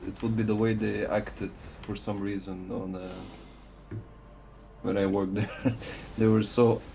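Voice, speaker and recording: Low-voiced man in a voice chat. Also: flat delivery, gravelly, darkish tone, low-quality recording. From Bulgaria. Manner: halting, laughs easily. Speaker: guest in a group chat. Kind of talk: casual group chat.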